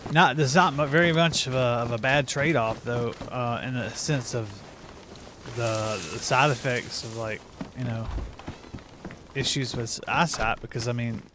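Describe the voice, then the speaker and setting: A man's voice: mid-range voice, normal speed, background noise. A man livestreaming a game, talking in a rambling, folksy, informal way as he plays. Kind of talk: casual gaming commentary and chat.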